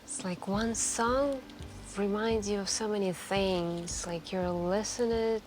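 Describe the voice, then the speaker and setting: A woman playing a wistful, soft-spoken café stranger who confides intimately and hesitantly in an ASMR roleplay. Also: mid-range voice, fairly even intonation, faint music behind, lightly acted. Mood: nostalgic, musing. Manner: intimate, hesitant, musing, with gentle pauses.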